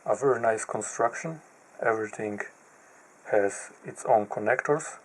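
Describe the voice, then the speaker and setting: Brief: man with a low voice, slow and methodical, halting pauses, faint hum behind. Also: poor audio. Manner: halting, pauses mid-phrase while pointing at parts. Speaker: electronics repair hobbyist. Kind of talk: slow, methodical narrated teardown.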